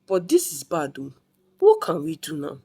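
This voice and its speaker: A woman's voice: deep voice, wildly swooping pitch, moderate pace, dark tone, slightly rough, full character voice. A woman voicing a reassuring, practical sister in a folktale, soothing and matter-of-fact. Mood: worried. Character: reassuring, practical sister of a troubled young mother.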